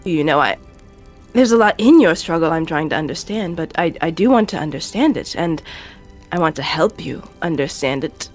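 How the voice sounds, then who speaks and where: Woman voicing a caring guy companion, earnest n stumbly, fantasy audio drama, soft music behind. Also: sits low, animated, normal speed. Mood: earnest, supportive. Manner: hesitant, earnest, stumbling over words. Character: gentle, halting, caring male companion.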